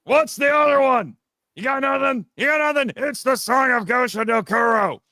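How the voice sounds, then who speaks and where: A man's voice: extremely high pitch, moderate pace, gravelly, pushed and bright, no room sound, studio quality. A man chatting casually as a podcast guest, explaining trivia in a teasing, mock-condescending way. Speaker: podcast guest, fan audio drama writer and voice actor.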